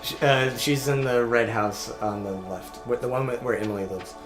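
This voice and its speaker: Offhand young man over clear game music. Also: medium pitch, moderate pace. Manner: offhand, prompting and guiding. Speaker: let's play co-host. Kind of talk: casual gaming chat. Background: video game music.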